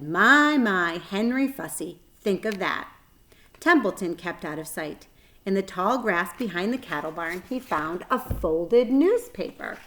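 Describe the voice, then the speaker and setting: Woman narrating a children's story. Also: mid-range voice. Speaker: read-aloud narrator.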